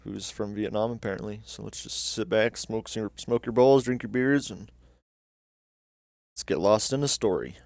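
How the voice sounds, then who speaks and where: A man's voice: on the low side, normal speed, gravelly, darkish tone, no room sound, studio quality. A young man hosting a livestream, chatting casually and cursing as he reacts to videos with viewers. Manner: laid-back, swears casually. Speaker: livestream host.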